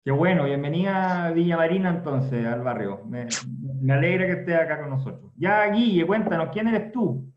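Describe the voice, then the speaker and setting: A man's voice: fairly high pitch, animated, moderate pace, few pauses. A man with a Chilean accent hosting and moderating a casual chat with an upbeat, chatty manner. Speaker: host, moderator. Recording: roomy, dull lo-fi call audio.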